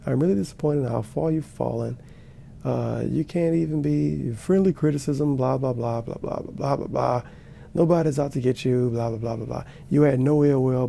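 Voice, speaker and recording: A man's voice: mid-range voice, vocal fry, mellow, a little hiss or hum. A man giving sarcastic, profane reaction commentary while watching a video. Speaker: commentary streamer.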